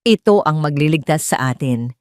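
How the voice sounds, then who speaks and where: A woman's voice: low-pitched, lively intonation, moderate pace, darkish tone, dry recording, clean studio sound. A woman voicing an elderly male business partner, a frank family friend who speaks seriously and formally in an audio drama. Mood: grave.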